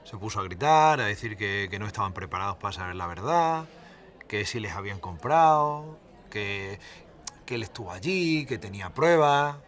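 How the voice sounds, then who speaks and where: A man's voice: lowish voice, expressive, moderate pace, a bit bright. An actor playing a blunt cameraman from Extremadura, speaking colloquially and hesitantly in a mock documentary interview. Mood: hesitant, recalling. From Cáceres, Spain. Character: TV cameraman from Extremadura recalling events, resentful but kindly.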